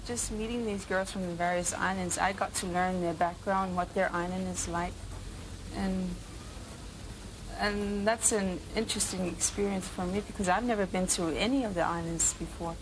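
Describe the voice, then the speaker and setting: Lower-voiced woman speaking over rain noise. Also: fairly even intonation, normal speed. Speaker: pageant contestant.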